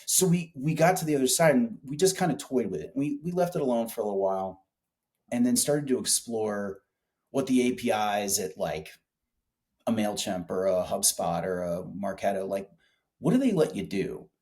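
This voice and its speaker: A man's voice: lowish voice, animated, normal speed, darkish tone. A man being interviewed as a startup founder, chatting casually with colloquial, salty, emphatic storytelling. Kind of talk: casual business interview chat.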